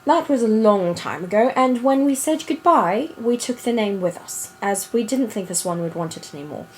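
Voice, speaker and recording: A woman's voice: a little high, lively intonation, normal speed, darkish tone, lightly acted. Woman as whimsical storybook narrator, noisy room. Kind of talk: expressive children's book reading.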